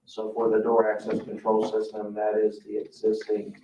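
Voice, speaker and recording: A man's voice: fairly low pitch, completely flat delivery, normal speed, mellow. A man presenting to a board in an informal, explanatory way, sometimes hesitant in his phrasing. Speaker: town staff member presenting to a board. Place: indoor public meeting room. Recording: muffled, echoey meeting room, distant mic, hiss.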